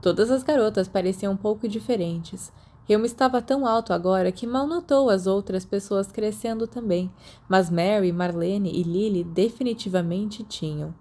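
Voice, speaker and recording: Brazilian woman narrating fiction, soft mellow voice. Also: medium pitch, normal speed. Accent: Brazilian Portuguese. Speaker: podfic narrator. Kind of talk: scripted fiction narration, audiobook reading.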